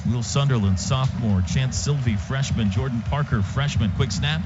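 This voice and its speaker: A man's voice: mid-range voice, moderate pace. A man calling a live football game as a radio play-by-play announcer, in clipped, rhythmic phrases. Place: outdoor stadium radio booth. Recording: muffled radio feed, loud stadium noise.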